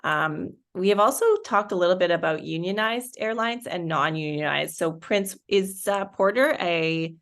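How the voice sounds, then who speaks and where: Woman, lowish voice, panel moderator, upbeat, clear explanations, video call. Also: moderate pace, few pauses, fairly bright tone.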